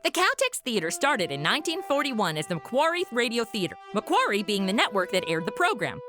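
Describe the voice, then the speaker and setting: A woman's voice: on the high side, sing-song, brisk, over music. A woman speaking as a show host in a scripted, peppy influencer style.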